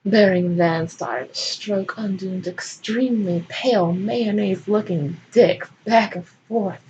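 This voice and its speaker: A woman's voice: medium pitch, a little slow, darkish tone. A woman hosting a fan fiction show, reading aloud theatrically and commenting casually with amusement. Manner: theatrical, breaking into amused asides. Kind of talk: dramatic storytelling reading with casual asides. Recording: muffled, noisy, distant microphone.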